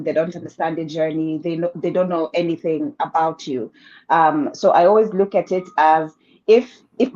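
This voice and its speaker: A woman panelist speaking in a relaxed, self-assured way with frequent fillers, over a video call. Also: on the low side. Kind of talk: casual panel discussion. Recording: dull lo-fi call audio.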